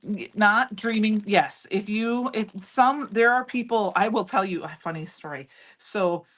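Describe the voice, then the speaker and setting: A middle-aged woman with a loud voice, chatting and rambling as she demonstrates a craft project live and answers viewers by name. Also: medium pitch, unhurried. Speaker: crafting livestream host. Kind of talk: casual chat while demonstrating crafts.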